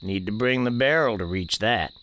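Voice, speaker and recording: An actor playing an elderly country storekeeper, narrating an audio drama in a folksy, deliberate, musing way. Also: male voice, on the low side, fairly bright tone, slight background noise, lightly acted. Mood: musing, unhurried. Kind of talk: first-person scripted narration, audio drama.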